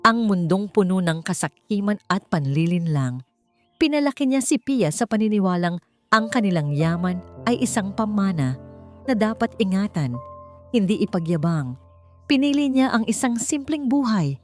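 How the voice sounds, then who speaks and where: A woman's voice: mid-range voice, a little mellow. A woman reading a scripted dramatic story as its narrator.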